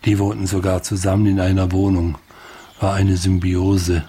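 A man giving unscripted, reflective commentary on a film, halting and thinking aloud in fragments. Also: deep voice, level tone, warm tone. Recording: clear music behind the voice.

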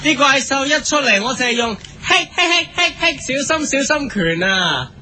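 A man's voice: extremely high pitch, big pitch swings, normal speed, bright, forceful tone, slight background noise, heavily acted. A man playing a cocky, suspicious young superhero in a comic radio drama, grumbling and arguing whinily. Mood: boastful, suspicious. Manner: whiny, argumentative.

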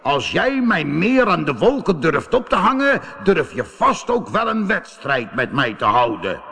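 Booming husky giant in cavernous reverb. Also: male voice, very animated, full character voice. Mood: challenging. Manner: loud, drawn-out bellowing. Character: big bellowing lake giant, boastful yet gullible.

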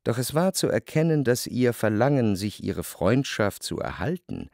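An observant literary narrator in a radio play. He tells the story in a measured, wry way, with a medium pitch and widely varied intonation. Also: sing-song, creaky, a little mellow, dry recording, studio quality.

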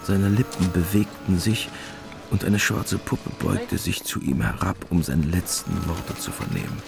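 A man's voice: deep voice, sing-song, unhurried, creaky. A man narrating a scripted radio drama as its storyteller. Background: music.

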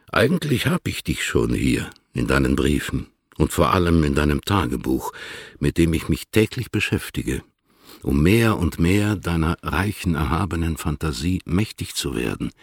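A dignified older man of letters in a radio play. His low voice is gravelly and husky. He speaks in formal, deliberate phrases, fond yet instructive. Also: no room sound, clean studio sound, exaggerated voice. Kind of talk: literary radio drama, formal letter reading.

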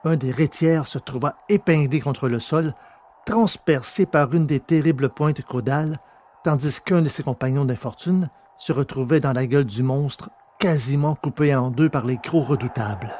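A man reading scripted adventure fiction as a dramatic audiobook narrator, his voice slightly husky. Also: mid-range voice, moderate pace, a little mellow, a little hiss or hum.

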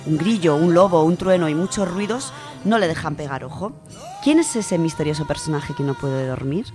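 A woman with an accent from Spain, presenting and reading children's stories on the radio in an expressive, dramatic way. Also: low voice, moderate pace, over music. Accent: Spanish from Spain. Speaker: radio storytelling contributor. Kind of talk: children's book reviews and expressive story reading. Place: radio studio.

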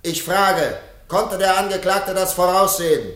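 In a reverberant room, a formal male judge presides in a radio play. His voice is on the high side, with precise, bureaucratic wording and a stern, official manner. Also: unhurried, a bit bright. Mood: officious, stern. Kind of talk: German radio play acting.

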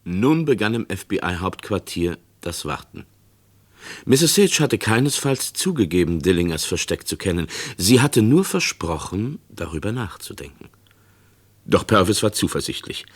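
A man's voice: low-pitched, big pitch swings, a little slow, slightly rough. A man giving scripted narration as the narrator of a true-crime radio drama.

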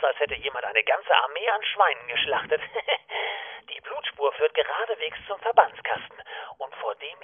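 A man playing a chatty, mischievous office prankster in a comedy audio drama, heard over a tinny voicemail line. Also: fairly high pitch. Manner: chatty, casually nonchalant.

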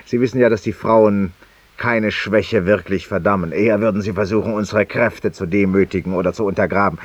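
Husky, low-voiced cynical confessor. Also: male voice, fairly even intonation, brisk. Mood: wry, resigned. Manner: theatrical, ironic, confiding, dropping to near whispers. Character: cynical, self-accusing male former lawyer confessing to a listener. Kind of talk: 1950s German radio drama monologue. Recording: muffled, roomy 1950s radio recording.

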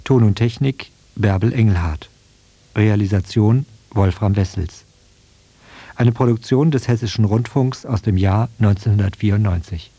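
A man's voice: lowish voice, normal speed, warm tone, husky, no room sound. A man announcing a radio programme and its credits from a script.

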